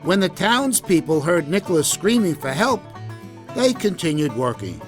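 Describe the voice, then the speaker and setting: A man narrating a scripted children's story for English learners in a deliberate, expressive way. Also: a little high, faint music behind.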